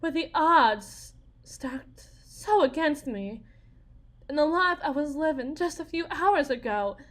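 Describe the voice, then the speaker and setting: Casting: young wife, Southern US accent, very high voice with sweeping swings, wounded, sardonic, desperate, for a dramatic audio roleplay. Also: female voice, animated, slow pace, a bit bright, heavily acted. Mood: pleading, wistful. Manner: confiding, theatrical, folksy, wounded and sardonic. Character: bitter young Southern wife and expectant mother, desperate, dreaming of stardom.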